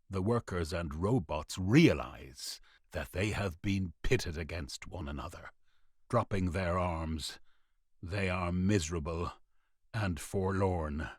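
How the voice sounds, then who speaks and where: A man narrating a scripted radio play in a dramatic, deliberate storytelling manner. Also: low-pitched.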